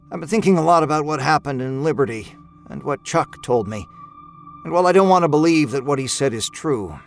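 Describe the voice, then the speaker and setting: A man narrating a first-person horror story in a slow, brooding, measured way. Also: medium pitch.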